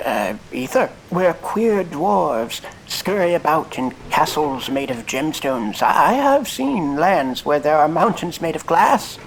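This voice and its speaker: An actor playing a celebrated explorer in a fantasy audio drama, giving grandiose, halting, theatrical speeches to an adoring crowd. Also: male voice, on the high side, a little hiss or hum, heavily acted, poor audio. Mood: grandiose, wistful.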